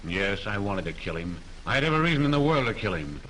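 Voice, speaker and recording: An actor playing a desperate, frightened male studio electrician who pleads in a husky voice, in 1930s film style. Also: fairly low pitch, sing-song, fast talker, fairly bright tone, rough recording. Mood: pleading.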